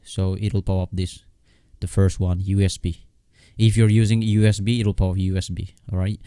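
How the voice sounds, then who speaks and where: A low-pitched man with a dark, relaxed tone walks through a casual computer tutorial. He speaks off the cuff, repeating himself and pausing to check that the listener follows. The sound is dry and close. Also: no room sound. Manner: halting, repetitive, with frequent check-in words. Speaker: software tutorial host. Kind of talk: casual unscripted screen tutorial.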